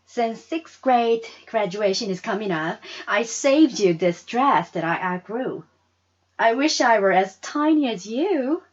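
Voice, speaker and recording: A woman's voice: on the high side, expressive, measured pace, highs cut off, pitched above the performer's own voice. A woman voicing an eager, generous sixth-grade girl in a storybook reading. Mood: bright, pleased.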